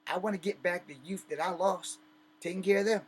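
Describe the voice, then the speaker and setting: A man's voice: high-pitched, fairly even intonation, a little fast, slightly rough. A man in his fifties hosting a personal vlog, talking bluntly and bitterly with profanity and emphatic repetition. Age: 50s. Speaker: host.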